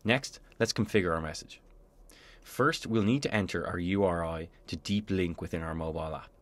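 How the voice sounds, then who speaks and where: A man presenting a software tutorial in scripted instructional narration, explaining clearly step by step. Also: deep voice, normal speed, dry recording.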